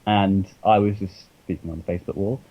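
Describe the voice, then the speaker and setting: A man co-hosting a casual podcast, chatty and halting, often restarting his sentences. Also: low voice, fairly even intonation, husky, highs cut off. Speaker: podcast co-host.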